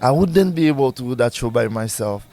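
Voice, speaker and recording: A male musician with a Haitian accent talks in a casual interview. His voice sits at a medium pitch. He speaks haltingly, restarting as he searches for the right words. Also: animated, warm tone. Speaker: musician, singer and bandleader being interviewed.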